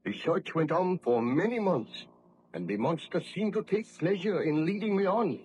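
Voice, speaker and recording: An actor playing a tormented, guilt-ridden baron scientist, speaking in a formal, anguished, declamatory way in a 1930s radio horror play. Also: male voice, high-pitched, lively intonation, moderate pace, a little mellow. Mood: weary, haunted. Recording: noisy old 1930s radio recording.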